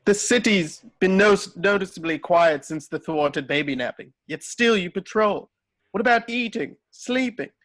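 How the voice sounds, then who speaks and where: A man's voice: high-pitched, animated, normal speed, fairly bright tone. A man playing an old, caring butler at a script read, formal and solicitous. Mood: concerned. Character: Alfred, a fussing, caring old male butler. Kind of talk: table read of a film script.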